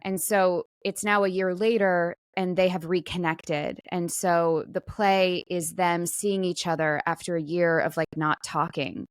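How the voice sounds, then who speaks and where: A woman's voice: on the low side, steady tone, unhurried, hardly any pauses, dry recording, studio quality. A woman co-hosting a podcast in casual, conversational chat, with plenty of "like" and trailing asides. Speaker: podcast co-host; actor.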